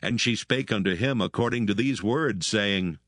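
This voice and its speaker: A man narrating dramatised scripture in a solemn, measured manner. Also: on the low side, fairly bright tone, dry recording, clean studio sound.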